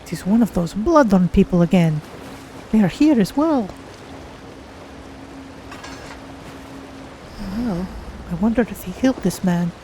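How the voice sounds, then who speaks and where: A woman's voice: medium pitch, lively intonation, moderate pace, dark tone, a little hiss or hum, close to an everyday voice. A woman voicing a curious, naive cat-folk adventurer, musing aloud in careful, formal phrases as she plays a game. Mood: puzzled. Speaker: streamer voicing own game character. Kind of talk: in-character game playthrough narration.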